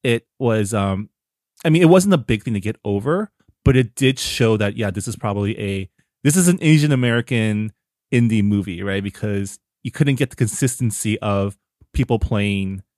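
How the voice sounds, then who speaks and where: A man's voice: lowish voice, moderate pace, darkish tone, dry recording, studio quality. A man co-hosting a podcast, talking casually about a film with a laid-back, chuckling manner. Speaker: podcast co-host.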